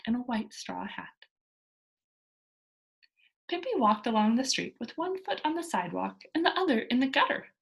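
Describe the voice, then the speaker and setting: A woman reading a children's story aloud as narrator, in a deliberate, expressive storytelling manner. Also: sits high.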